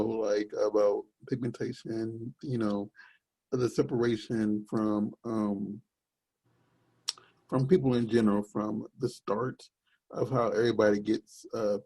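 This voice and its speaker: A young man with a deep, gravelly voice talks casually on a podcast. His tone is husky and mellow, and he wanders through his points with many fillers. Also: low-quality recording. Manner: rambling, searching for words with many fillers. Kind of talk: casual chat.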